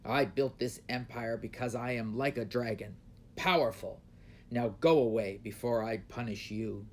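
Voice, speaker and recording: A man's voice: medium pitch, measured pace, natural voice. A man voicing a proud, hot-tempered, commanding emperor in a children's storybook. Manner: commanding, imperious. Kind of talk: children's storybook read aloud.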